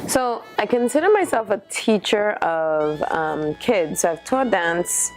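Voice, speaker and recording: A Trinidadian woman in her thirties, a dancer answering interview questions in a thoughtful way, often pausing with fillers. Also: mid-range voice, big pitch swings, faint music behind, rough recording. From Trinidad and Tobago. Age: 30s. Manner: thoughtful, lists things with many fillers.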